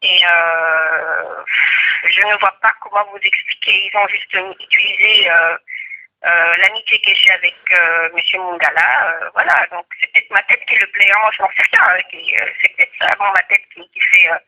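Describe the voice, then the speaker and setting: A woman's voice: mid-range voice, normal speed, sharp tone, poor audio. A woman in her forties from DR Congo, answering interview questions hesitantly with many fillers and indignant denials.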